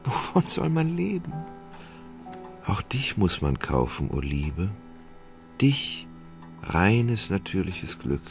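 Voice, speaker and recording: An actor in a radio play, playing an anxious, indolent, melancholy gentleman in love, hesitant and sighing. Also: deep voice, very animated, takes it slowly, pauses often, soft background music, heavily acted.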